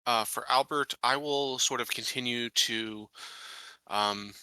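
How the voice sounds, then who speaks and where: A man playing a tabletop roleplaying game, talking through his character's actions in a measured, halting, earnest way. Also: medium pitch, sharp tone, clear, resonant tone, dry recording. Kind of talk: casual in-game discussion.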